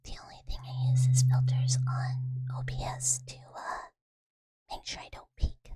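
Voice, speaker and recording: Female ASMR streamer, soft rambling whisper, very low and flat underneath, close USB mic. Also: moderate pace. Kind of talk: whispered live-stream chat. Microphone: USB desk mic.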